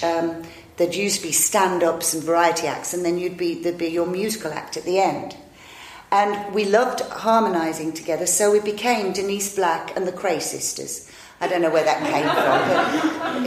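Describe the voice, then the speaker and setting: A woman in her fifties, an actress, telling long rambling anecdotes in a chatty, self-deprecating way. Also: fairly low pitch. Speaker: actress and improviser, interview guest. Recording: echoey room.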